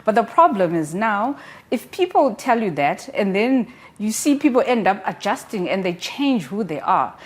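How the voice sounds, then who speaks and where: A woman's voice: mid-range voice, big pitch swings, normal speed. A woman reviewing a book on a studio talk show, earnest and encouraging, with many casual fillers.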